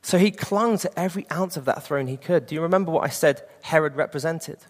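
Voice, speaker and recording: A man's voice: a little high, fast, big-room sound. A man in his late twenties preaching a sermon and sharing an earnest personal testimony. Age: 20s.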